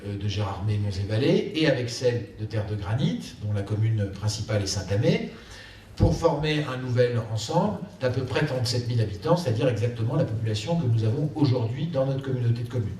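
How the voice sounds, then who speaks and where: A man's voice: medium pitch, a little mellow. Echoey, distant Frenchman giving a council speech. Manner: measured and emphatic, repeating key phrases for stress. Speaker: local elected official addressing a town council. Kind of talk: political speech at a council meeting. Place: council chamber, indoors. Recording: echoey room, distant mic, faint background noise.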